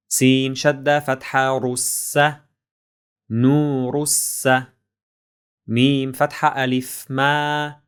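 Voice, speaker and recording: A man teaching reading in a syllable-by-syllable spelling drill, with deliberate, precise enunciation and drawn-out syllables. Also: medium pitch, clear, resonant tone.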